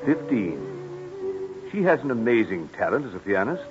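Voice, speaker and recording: A man's voice: medium pitch, big pitch swings, moderate pace, music in the background, close to an everyday voice. Casting: male actor as genial, proud dad in vintage radio play, hugely varied intonation, dull lo-fi sound. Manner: courteous, genial. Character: genial, proud father of a teenage girl. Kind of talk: old-time American radio drama acting. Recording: muffled, lo-fi old radio broadcast.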